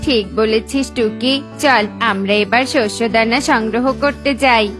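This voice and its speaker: A woman's voice: a little high, a little slow, over music, close to an everyday voice. A woman voicing a kind, earnest young brother bird in a children's cartoon. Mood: satisfied.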